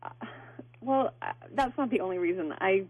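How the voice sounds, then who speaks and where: A woman's voice: mid-range voice, animated, moderate pace, no echo. A woman on a phone call to a radio show, talking casually in a hesitant, flustered way. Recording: tinny phone line on radio.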